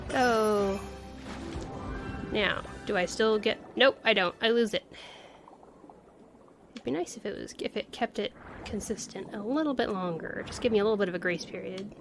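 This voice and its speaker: A woman streams a video game with casual, playful commentary. She talks slowly and thinks aloud, often exclaiming as she goes. Her pitch sits in the middle range for a woman, with soft music playing underneath. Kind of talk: casual livestream gameplay commentary.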